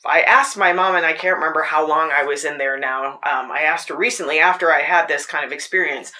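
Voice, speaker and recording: A woman with a fairly low voice hosting a podcast, telling personal stories in an earnest, searching way. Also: a little fast, sharp tone. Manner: earnest, personal storytelling with frequent restarts. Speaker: podcast host. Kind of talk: casual spoken reflection. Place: Tucson.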